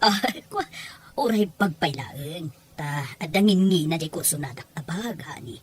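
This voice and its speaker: A woman playing a defiant, lovestruck middle-aged mother in an audio drama, theatrical, boastful and quick to exclaim. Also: low voice, expressive. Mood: sweet, coaxing. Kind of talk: Ilocano audio drama dialogue.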